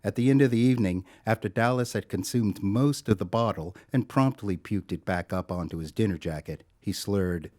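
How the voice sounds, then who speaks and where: An actor as the narrator of an audio drama, telling a scripted story in a wry, sardonic way. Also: male voice, fairly low pitch, moderate pace, few pauses, darkish tone, natural voice. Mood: wry, measured.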